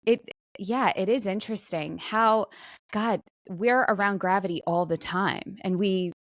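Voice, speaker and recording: A woman in casual co-host banter, with a middling pitch and a very dry recording free of room sound. She talks about herself in a self-deprecating, conversational way. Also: measured pace, no room sound. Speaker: podcast co-host; stage actor.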